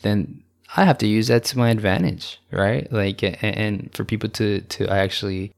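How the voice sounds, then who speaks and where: A young man hosting his own podcast, talking alone in a calm, easygoing way, rambling with many fillers and restarts. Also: fairly low pitch, moderate pace, vocal fry. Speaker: podcast host. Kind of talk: casual solo podcast monologue.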